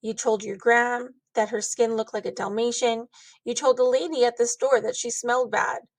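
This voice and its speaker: A woman's voice: on the high side, steady tone, normal speed, no room sound. A woman voicing a stern, disapproving mother in a children's storybook, admonishing her child firmly. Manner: firm, admonishing.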